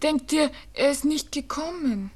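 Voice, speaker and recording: A woman's voice: sits high, animated, measured pace, a little mellow. An actress playing an earnest, wounded young Viennese girl in love, pleading in a radio drama. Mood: anxious, hurt. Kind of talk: 1960s German radio drama acting.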